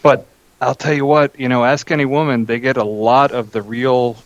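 A man of medium pitch offers casual commentary, explaining things conversationally and tossing in little rhetorical questions. His audio is a bit dull and lo-fi, dry and without room echo. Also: level tone, moderate pace. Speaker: co-host or guest commentator. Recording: dull, slightly lo-fi voice link.

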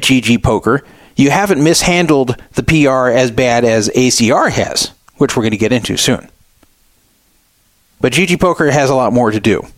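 A man's voice: mid-range voice, no echo. Middle aged guy doing opinionated radio host monologue, emphatic, repeats stuff for punch, super dry close sound. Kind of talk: opinionated solo talk radio commentary.

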